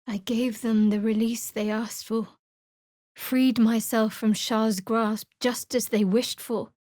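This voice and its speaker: A secretive dark cleric girl from a video game, dry and guarded, super close clean sound. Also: a little high, steady tone, slow, no room sound. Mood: somber, confiding. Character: guarded young female cleric of a dark goddess, wry, secretive.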